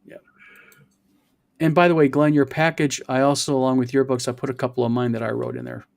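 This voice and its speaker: A man's voice: mid-range voice, little pitch movement, brisk, vocal fry. Man in his fifties hosting a chat. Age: 50s. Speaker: host. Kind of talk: casual chat.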